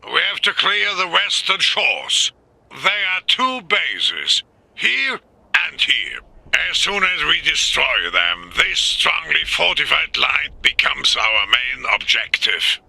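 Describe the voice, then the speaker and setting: Gravelly, forceful army commander. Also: male voice, fairly high pitch, takes it slowly, no echo, full character voice, rough recording. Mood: firm, measured. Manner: clipped, firm, animated. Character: cautious, firm male army commander, dutiful and authoritative. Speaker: voice actor. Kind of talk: dramatic military game acting.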